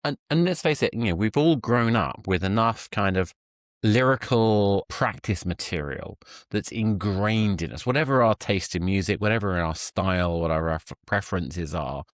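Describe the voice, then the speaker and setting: Male interviewer, deep voice, discursive and reflective conversational delivery, dry close recording. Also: lively intonation, moderate pace, dry recording. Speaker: podcast host, interviewer.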